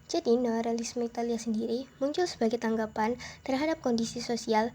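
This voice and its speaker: A young woman with a hoarse voice, talking casually as a student presenter in a voice-over lesson. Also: fairly high pitch, a little fast, dark tone, slight background noise. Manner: chatty, peppers talk with "nah" and "gitu deh". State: hoarse, a bit unwell. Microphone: home-recorded dubbing.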